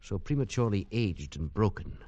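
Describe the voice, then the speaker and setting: A worldly traveller in an old radio drama, who tells his eerie story in a measured, grave way. His voice sits quite low. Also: expressive, warm tone, no echo, highs cut off. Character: man named Waterhouse, worldly traveller recounting a curse. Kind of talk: 1940s radio drama narration.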